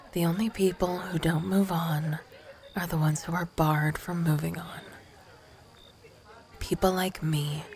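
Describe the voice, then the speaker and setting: A woman's voice: fairly low pitch, vocal fry, a little mellow. A woman acting as an awkward, nervous young female ghost in an ASMR roleplay, stammering, flustered and defensive. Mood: guilty, subdued.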